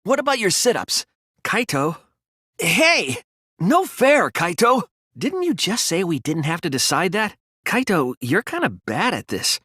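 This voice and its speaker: A man voicing an earnest, anxious, thoughtful teenage student detective in a video game, with a hesitant, soft, slightly husky delivery. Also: sits high, sing-song, measured pace, no echo, studio quality, exaggerated voice. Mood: playful scolding. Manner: hesitant, stammering when flustered, earnest. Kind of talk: English video game character voice acting.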